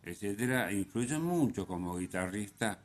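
A man hosting a radio music show, giving unscripted commentary in a halting way, often hesitating and correcting himself. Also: lowish voice, animated.

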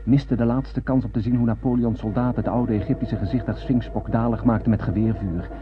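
An actor playing a haunted survivor who narrates a doomed space voyage in a radio drama, grave and literary. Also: male voice, mid-range voice, steady tone, brisk, soft background music, close to an everyday voice. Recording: dull, muffled old radio recording.

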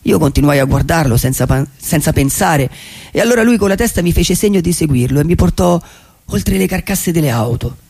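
Husky, gravelly wistful vagabond played by a man. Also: high-pitched, a little mellow, heavily acted. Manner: reflective, halting, with deliberate pauses. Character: wistful, poetic male vagabond dreamer who loves cinema. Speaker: radio theatre actor performing a monologue. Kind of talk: theatrical dramatic monologue, radio play.

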